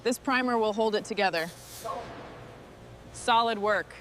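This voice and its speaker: A woman's voice: medium pitch, unhurried, fairly bright tone, a little hiss or hum. A woman speaking short, scripted remarks and commands as a machine restorer at work.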